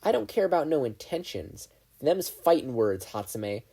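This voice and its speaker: A performer voicing a bubbly, determined teenage girl who is excitable and eager. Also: medium pitch, normal speed, creaky, breathy edge, voice pushed up, heavily acted. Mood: fired-up.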